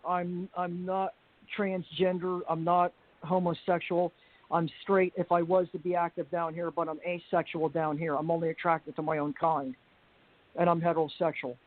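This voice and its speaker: Deep-voiced woman, halting, over a tinny line. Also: flat delivery. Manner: rambling, halting with frequent restarts and fillers. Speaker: panel guest. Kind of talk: personal account on a group call. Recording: tinny phone-like line with faint hiss.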